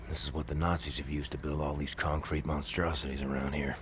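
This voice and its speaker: An actor playing a battle-worn American army captain in a video game, terse, gruff and understated. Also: male voice, a bass voice, flat delivery, fairly quick. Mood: businesslike. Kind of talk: dramatic video game acting.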